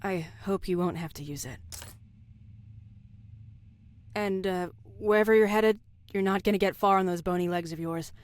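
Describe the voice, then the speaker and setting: An actress playing a caring but guarded, practical woman in a video game drama, with measured, understated delivery. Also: fairly low pitch, darkish tone, lightly acted.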